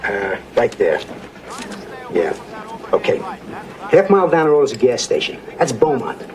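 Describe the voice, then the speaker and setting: An actor playing an easygoing, wry small-town diner owner in a folksy, offhand, naturalistic film style. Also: male voice, medium pitch, very animated, normal speed, a little mellow, close to an everyday voice.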